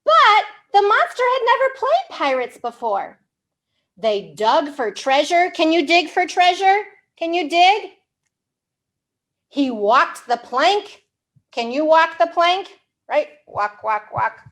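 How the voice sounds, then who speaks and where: A woman hosting a storytime for young children, reading aloud in an animated way with simple, exaggerated, encouraging questions. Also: very high-pitched. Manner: slow, simple wording for English learners. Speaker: children's storytime librarian host.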